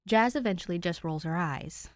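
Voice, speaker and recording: Woman podfic narrator; lowish, even storytelling read; dry, close mic. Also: moderate pace, no echo. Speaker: podfic reader. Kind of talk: audiobook narration of fan fiction.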